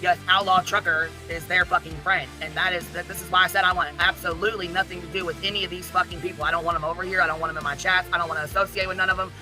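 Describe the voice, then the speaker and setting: A man's voice: high-pitched, little pitch movement, racing, few pauses, music in the background. A man arguing in an informal, heated way, blunt and emphatic, with constant swearing.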